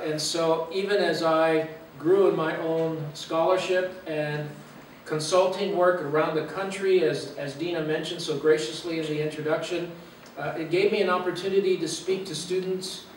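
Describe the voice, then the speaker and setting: A man in his fifties from Los Angeles, giving an earnest, reflective talk as a guest speaker with frequent "uh" pauses. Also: on the high side, slight background noise. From Los Angeles, USA. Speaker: guest speaker, university president. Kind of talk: personal talk to a church gathering. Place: meeting room or church hall. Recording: echoey room, mic far away.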